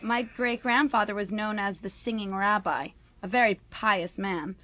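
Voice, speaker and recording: An actress plays a young newlywed in a radio play, eager to get along with her in-laws. Her medium-pitched voice is polite, chatty and earnest. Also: steady tone, normal speed, fairly bright tone, lightly acted. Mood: earnest, candid. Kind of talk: radio drama acting.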